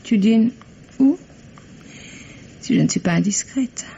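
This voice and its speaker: Casting: bitter, plaintive French wife, middle-aged, gravelly edge, vintage radio drama on dull tape. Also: female voice, mid-range voice, lively intonation, slow, mellow. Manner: plaintive, sighing, reproachful. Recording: dull, noisy old radio recording.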